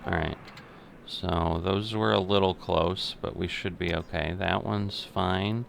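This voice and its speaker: A middle-aged man giving unscripted simulation game commentary, thinking aloud in a methodical, halting way. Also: low voice, steady tone, creaky, a little hiss or hum. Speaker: gaming video host.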